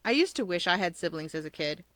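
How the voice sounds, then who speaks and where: A woman's voice: fairly low pitch, lively intonation, quick pace, a bit bright, no echo. A woman voicing a cheerful, easygoing schoolboy with an upbeat, casual manner in a story reading. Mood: amused. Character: cheerful, easygoing schoolboy humoring his friend. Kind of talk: audiobook character voice.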